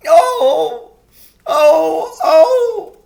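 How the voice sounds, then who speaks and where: A high, sobbing male faun, wracked with guilt. The words stretch into miserable wails that swing widely in pitch, full of gloomy self-reproach. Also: animated, vocal fry, a little mellow, exaggerated voice. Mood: sobbing, ashamed. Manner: sobbing, wailing, drawn-out words.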